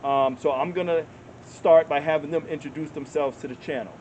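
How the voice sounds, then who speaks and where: A man's voice: a little high, fairly even intonation, brisk, a little mellow, highs cut off, a little hiss or hum. A man hosting a street interview in a park, who repeats answers back and encourages his guests. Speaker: interviewer and channel host. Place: outdoors in a public park.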